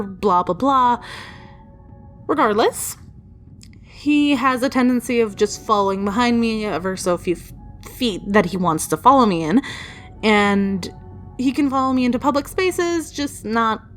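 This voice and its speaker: A woman telling personal stories casually in a chatty, rambling, playfully emphatic way. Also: fairly high pitch. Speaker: storytelling video creator. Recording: music behind the voice. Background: background music.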